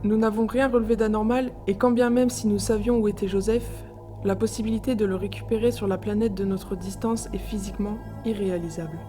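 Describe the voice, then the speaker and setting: A woman's voice: medium pitch, steady tone, a little fast, over music, natural voice. A woman playing a solemn, grieving ship's doctor recording a formal log in an audio drama. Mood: solemn grief.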